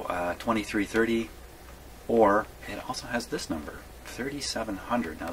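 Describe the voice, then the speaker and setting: A man giving a casual hands-on workshop demonstration, thinking aloud in a rambling way and often questioning himself. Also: sits low. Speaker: presenter of radio repair videos. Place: small home workshop. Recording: clearly noisy small workshop recording.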